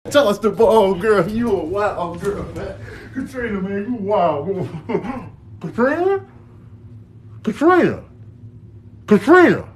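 A man's voice: high voice, very animated, takes it slowly, slight background noise, heavily acted. A man voicing a playful, exasperated animal in a comedic voiceover, streetwise and repetitive.

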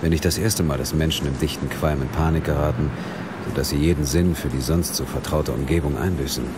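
An actor playing a wry, flirtatious Scotland Yard detective in a German crime audio drama, ironic and bantering. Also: male voice, a bass voice, steady tone, a little fast, very noisy. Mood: musing.

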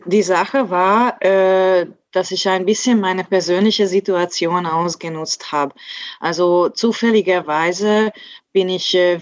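A woman in her thirties, a native Hungarian speaker, talking slowly on a video call through earphones, often restarting as she searches for words. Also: on the low side, no echo. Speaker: translator, guest. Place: indoors at home in front of a blue backdrop. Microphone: earphone headset on a video call. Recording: dull lo-fi video call audio.

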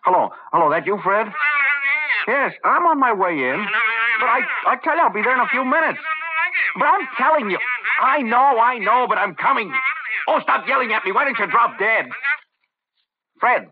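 A man's voice: very high-pitched, animated, moderate pace, pauses often, over a noisy crowd. An actor playing a nervous, balding middle-aged businessman in an old-time radio drama, stammering and agitated, with an American accent. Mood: frantic. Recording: muffled narrow-band old radio recording.

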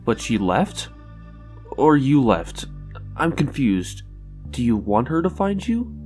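A man's voice: mid-range voice, very animated, takes it slowly, darkish tone. Man acting anxious, hesitant young man, music behind. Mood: guarded. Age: young adult. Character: anxious, doubtful young man haunted by loss. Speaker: voice actor in a comic dub. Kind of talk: dramatic dialogue and inner narration.

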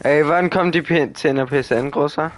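A man chatting in slang during an online game over a headset, giving orders and turning loud when excited. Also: fairly high pitch, brisk. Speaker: gamer streaming with a friend. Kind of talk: casual gaming chat with slang.

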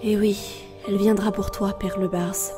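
A mysterious beggar woman who is Death herself, voiced by an actress in an audio drama. She is composed and enigmatic, at a medium pitch, with music clearly behind her. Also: flat delivery, normal speed, lightly acted. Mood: calm, knowing. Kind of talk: French audio drama acting.

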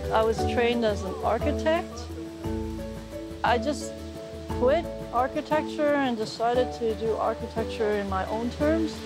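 A young woman from Los Angeles talks slowly and reflectively with a slightly high voice. She is interviewed outdoors on a farm. Strong music plays behind her. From raised in Los Angeles. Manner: reflective, with frequent fillers. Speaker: resident artist and architect. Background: background music.

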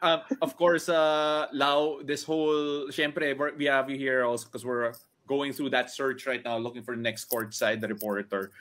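A man's voice: medium pitch, little pitch movement, normal speed, hardly any pauses, fairly bright tone. Upbeat Filipino man hosting a call. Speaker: host. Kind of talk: casual show hosting, mixing English and Tagalog. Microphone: video call from a home room.

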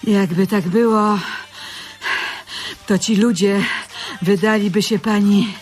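Slow, dreamy woman, played by an actress. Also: medium pitch, fairly even intonation, a little mellow, close to an everyday voice. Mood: earnest, wistful. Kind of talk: radio drama acting.

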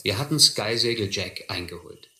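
Reflective ex-hobo narrating his youth, lowish but very sharp bright voice, roomy. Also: lively intonation, normal speed. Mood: reflective, grave. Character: reflective adult male narrator recalling his vagabond youth. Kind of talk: scripted first-person narration in a radio drama.

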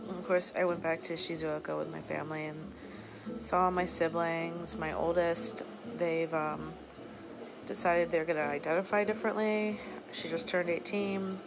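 Woman playing a low, creaky, flirty bar hostess. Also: level tone, normal speed, noisy background. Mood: warm, confiding. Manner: casual, teasing, drawling asides. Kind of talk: soft-spoken ASMR roleplay. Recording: music behind the voice.

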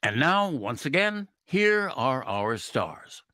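A man's voice: mid-range voice, very animated, slow pace, pushed and bright, dry recording, studio quality. A man announcing in a scripted old-time radio style.

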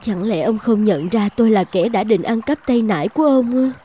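A woman's voice: fairly high pitch, fairly quick, warm tone, a little hiss or hum, close to an everyday voice. A woman voicing a despairing young woman in a cartoon folk tale. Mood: distressed, reproachful. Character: despairing young woman, a reformed would-be thief. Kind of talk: animated folk tale dubbing.

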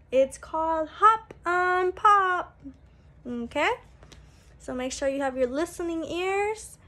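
Very high, bright-voiced young woman reading to kids. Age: young adult. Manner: animated, exaggerated, sing-song delivery with simple questions to children. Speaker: preschool teacher. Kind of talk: read-aloud story time for small children. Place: small room at home, indoors. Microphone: phone at arm's length.

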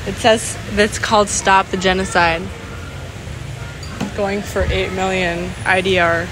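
A woman's voice: medium pitch, little pitch movement, measured pace. A young American woman chatting casually as she vlogs on a handheld phone. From United States. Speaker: vlogger. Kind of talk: casual vlog chat. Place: open-air cafe and roadside. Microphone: handheld phone camera. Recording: noisy outdoor traffic and engine ambience.

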